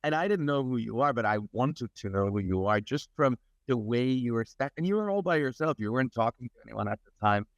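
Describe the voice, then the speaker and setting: A man's voice: mid-range voice, lively intonation, brisk, few pauses, dry recording. Middle-aged guy from germany, mid-range voice, earnest and expansive, dry muffled recording. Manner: earnest, expansive, repeats words as he builds sentences. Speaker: interview guest, technology advisor. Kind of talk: conversational interview. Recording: dull lo-fi recording.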